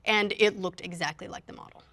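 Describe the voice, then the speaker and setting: A woman's voice: medium pitch, fairly even intonation, brisk, sharp tone. A woman talking informally and explaining her views as a panelist on a casual discussion show. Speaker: panelist on a video game and movie discussion show. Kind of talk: casual chat.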